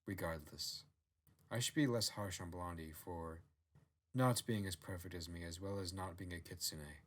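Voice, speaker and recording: A regal fox queen from a fantasy storybook, acted out by a man. The voice sits low, and the manner is proud and self-satisfied, with a coaxing, possessive streak. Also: moderate pace, fairly bright tone. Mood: wistful, regretful.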